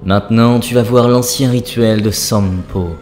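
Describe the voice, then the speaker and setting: A man's voice: lowish voice, fairly even intonation, a little slow, a little mellow, slight background noise, lightly acted. A man playing an ancient dwarf priest spirit in a cartoon, a solemn and instructive wise guide. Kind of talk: cartoon voice acting, spiritual teaching. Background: background music.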